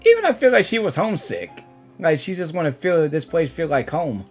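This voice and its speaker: Low-voiced, lively woman reacting to a game. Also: a little fast. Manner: informal, excitable, repeats herself. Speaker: gaming reaction streamer. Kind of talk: casual reaction commentary. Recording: game music behind the voice.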